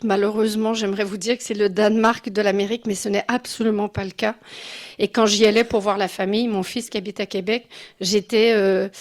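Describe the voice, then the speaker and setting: A woman's voice: medium pitch, darkish tone. A mature woman from Quebec gives an informal conference talk as a guest speaker, in a personal and reflective way. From Quebec City, Canada. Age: 50s or older. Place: live talk before an audience.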